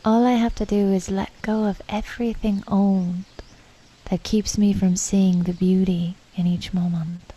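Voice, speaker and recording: A woman leading a guided meditation in a calm, unhurried, reflective manner, with soft, breathy phrase endings and pauses between thoughts. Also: medium pitch, rough recording.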